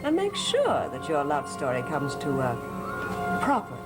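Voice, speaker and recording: A woman's voice: low-pitched, huge pitch swings, measured pace, exaggerated voice. An actress in a gothic soap opera playing a sinister woman who feigns devotion in an insinuating, theatrical way. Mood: sweetly sinister. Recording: loud dramatic music under the dialogue.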